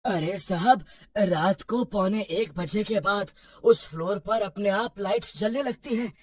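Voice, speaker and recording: A man playing a chatty, spooked night watchman in a horror cartoon, giving animated, deferential warnings. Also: high voice, unhurried, husky, no room sound, studio quality. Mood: ominous warning. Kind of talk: horror cartoon story acting.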